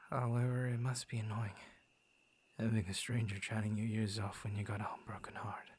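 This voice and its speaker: A man playing a gentle, shy young werewolf in an intimate ASMR roleplay, speaking softly and breathily, often near a whisper, with hesitant stammers. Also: lowish voice, flat delivery, moderate pace, a little hiss or hum, lightly acted. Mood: reserved, hesitant. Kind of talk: ASMR roleplay, intimate soft-spoken acting.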